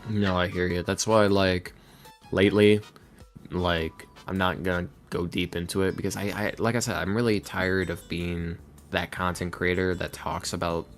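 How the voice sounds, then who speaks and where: A man's voice: sits low, flat delivery, normal speed. A man talking casually as a podcast guest, earnest and self-deprecating in a rambling way. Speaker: podcast guest, ASMR roleplay creator and voice actor. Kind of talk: casual podcast interview talk. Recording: music playing under the talk.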